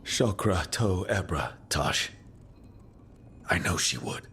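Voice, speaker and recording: A man playing a calm, wry hero who leads a party in a video game cutscene, talking encouragingly. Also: deep voice, takes it slowly, a little hiss or hum, heavily acted. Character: steady male hero leading a party. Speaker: player character.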